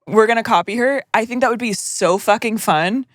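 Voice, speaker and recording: A woman's voice: fairly high pitch, a little fast, dry recording, clean studio sound. A young woman co-hosting a chat podcast, reading a listener's letter aloud with joking, profane asides. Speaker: podcast co-host.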